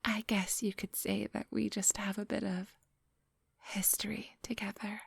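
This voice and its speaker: Slow, whispering vampire woman, acted by a woman. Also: mid-range voice, level tone, dry recording, exaggerated voice. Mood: guarded, smooth. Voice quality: breathy, whispery. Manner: slow, unhurried, silky and patronizing. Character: centuries-old vampire woman, politely condescending, quietly menacing boss. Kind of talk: ASMR roleplay. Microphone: close microphone.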